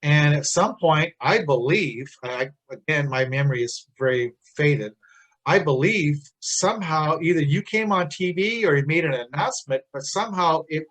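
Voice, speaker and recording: A man's voice: on the high side, expressive, normal speed, fairly bright tone. A man in his sixties doing a casual interview, talking in a chatty, rambling way. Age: 60s. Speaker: interviewer, Navy veteran.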